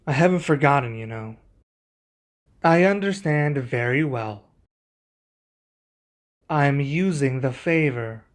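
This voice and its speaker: A man playing a composed, earnest young king in an ASMR roleplay, speaking in a measured, formal way. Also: medium pitch, animated. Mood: businesslike.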